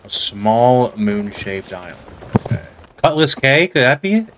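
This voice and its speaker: A man's voice: mid-range voice, lively intonation, unhurried, fairly bright tone, a little hiss or hum. A man talking casually with his crewmates during a video game. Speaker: gamer playing co-op with friends. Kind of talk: casual gaming chat.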